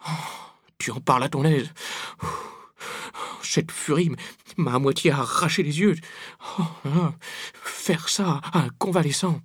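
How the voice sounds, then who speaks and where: A man's voice: a little high, measured pace, long pauses, breathy edge, dry recording, clean studio sound, voice pushed up. A man voicing a cowardly, whiny schoolboy who whimpers, stammers and grumbles in an audiobook adventure story. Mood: sulky, grumbling. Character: Pépé Cuvert, cowardly whiny schoolboy, easily terrified.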